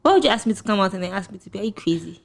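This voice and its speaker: A young woman with a Ghanaian accent chats loosely with a friend and laughs easily between reactions. Her voice sits at a middle pitch, and she talks in a casual, unscripted way. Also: animated, very fast, creaky. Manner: chatty, laughs often, reads out and reacts to listener posts. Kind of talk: casual chat.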